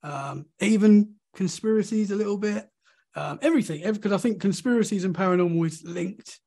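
A high-pitched English man with a London-area accent chats on a video call. His voice is gravelly from a sore throat and a cold, and he sounds eager and agreeable. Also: warm tone. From Essex, England. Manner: friendly, enthusiastic, quick to agree. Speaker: podcast host, guest. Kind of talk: casual video-call chat.

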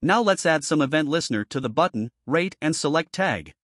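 Male tutorial narrator, slightly high-pitched, scripted instructional read, very dry sound. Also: expressive, fairly quick, a bit bright, no echo. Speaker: programming tutorial presenter.

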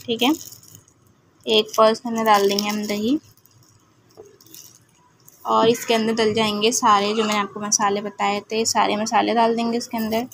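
Woman cooking host, even and homely delivery, phone-recorded in her kitchen with background hum. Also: a little high, normal speed, pauses often. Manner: homely, repeats "ठीक है" and "ये देखें", explains patiently. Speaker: home cooking channel host. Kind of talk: step-by-step recipe narration. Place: home kitchen.

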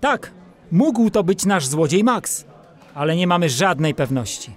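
A man playing an animated junior animal police officer in a children's audio drama. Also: sits high, a little slow. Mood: informative.

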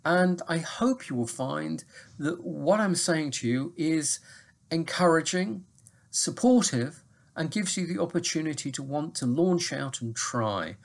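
A man, giving a recorded lecture from his home study to his university students in a measured, explanatory way. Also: on the high side, expressive, creaky. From Manchester, England. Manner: measured, explanatory, lots of lists. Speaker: university English teacher. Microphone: webcam at desk.

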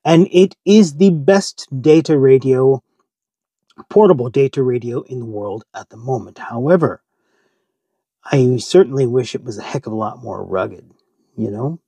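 Mellow, husky middle-aged man. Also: medium pitch, a little slow, no room sound. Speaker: ham radio channel host. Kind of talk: casual update to camera, answering viewer questions.

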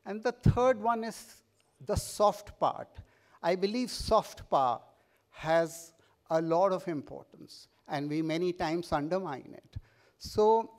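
A middle-aged man with an Indian English accent giving an urging keynote speech at a podium mic on a large conference stage, with fillers and repeated words. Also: sits high. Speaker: keynote speaker.

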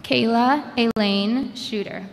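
A woman reading graduates' names one by one at a commencement ceremony, announcing each name with a measured pause through a podium microphone. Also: a little high, vocal fry. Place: large indoor gymnasium. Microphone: podium microphone over a PA system. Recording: long hall reverb with crowd noise. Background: crowd ambience.

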